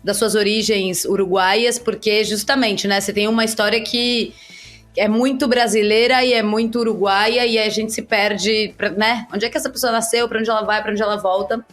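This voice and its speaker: A woman hosting a podcast, framing her interview questions in a measured, thoughtful way. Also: medium pitch, steady tone, fairly bright tone, soft background music. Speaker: podcast host.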